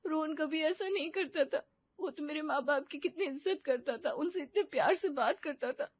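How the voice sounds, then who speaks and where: A woman voicing a meek, emotional young wife in an audio story, soft-hearted and easily dismayed. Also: high-pitched, moderate pace, highs cut off, pitched above the performer's own voice, close to an everyday voice. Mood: tearful, hurt. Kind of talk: Hindi audio story character acting.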